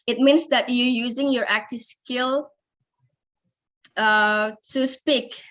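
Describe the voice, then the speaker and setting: Indonesian woman teacher, English as second language, slow hesitant delivery, slightly high voice. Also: a bit bright. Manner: hesitant, many fillers and restarts. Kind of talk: English lesson.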